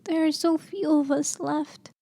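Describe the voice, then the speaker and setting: A woman playing a sweet, excitable young girl in a fan audiobook. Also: sits high, a little slow, creaky, no room sound. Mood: sedate.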